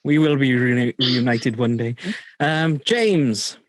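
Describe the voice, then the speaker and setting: A man's voice: medium pitch, slow, dry recording. Hesitant male podcast host on call. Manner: hesitant, many ums, playful. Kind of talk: casual group video chat. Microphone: video call.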